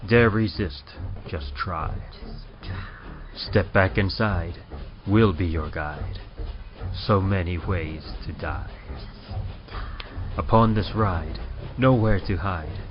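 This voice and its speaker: A man reciting a horror poem in a slow, deep, ominous way. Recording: distant, dull lo-fi over loud music.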